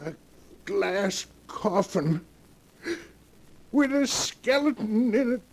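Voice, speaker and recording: A man's voice: high-pitched, animated, takes it slowly, creaky, darkish tone, exaggerated voice. An actor playing a worried, plain-spoken male companion in a 1940s radio horror drama. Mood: aghast. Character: worried male companion searching a dark house. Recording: faintly noisy 1940s radio recording.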